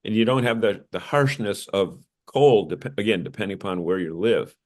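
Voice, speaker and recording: An American man with a slightly low voice chats as co-host of a news show. His delivery is casual and conversational, like someone discussing stories with a colleague. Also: normal speed, darkish tone.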